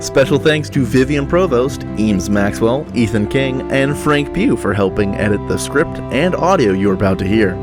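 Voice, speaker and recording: A man reading scripted credits as the announcer of an audio drama. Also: mid-range voice. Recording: music behind the voice. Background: music.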